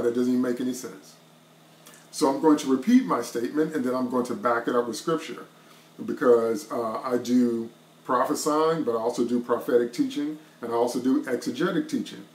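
Emphatic preacher man in an echoey room. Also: medium pitch. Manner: emphatic, repetitive preaching cadence. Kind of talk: online devotional sermon. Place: small wood-paneled room indoors. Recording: roomy echo.

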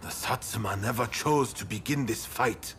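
An actor playing a proud, distrustful middle-aged samurai leader in a video game, speaking formally with measured weight. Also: male voice, medium pitch, noisy background, close to an everyday voice. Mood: stern. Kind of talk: dramatic video game acting. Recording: loud game music behind the voice.

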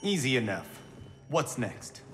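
A man's voice: mid-range voice, very animated, measured pace, faint music behind. An actor playing a wry, quipping young male monk warrior. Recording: roomy game cutscene, loud score.

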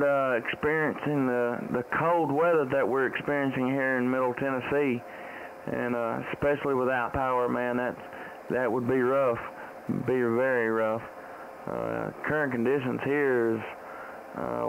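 A man's voice: mid-range voice, vocal fry, rough recording. A middle-aged man with a Southern US accent chatting casually as a ham radio operator, folksy and rambling, repeating his phrases. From United States. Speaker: ham radio operator, live-stream host. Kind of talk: casual radio contact chat. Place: small indoor home radio room. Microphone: boom-arm studio microphone, close, with headset. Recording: steady radio static noise.

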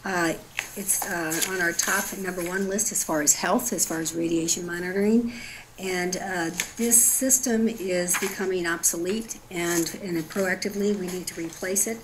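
A woman's voice: on the low side, fairly even intonation, moderate pace. A woman on a board, speaking semi-formally at a meeting. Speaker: board member. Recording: noisy meeting room recording.

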